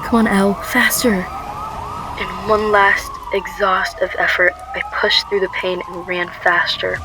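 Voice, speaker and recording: A woman's voice: medium pitch, flat delivery, measured pace, background noise, natural voice. A woman playing a frightened but determined young woman on the run in a first-person radio drama. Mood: straining, then urgent.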